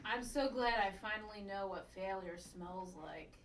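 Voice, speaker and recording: A woman plays an unimpressed sitcom office worker, deadpan and sarcastic. She speaks slowly from off-mic in an echoey room. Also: medium pitch, lightly acted. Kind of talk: sitcom table read. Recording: echoey room, distant mic, noisy background.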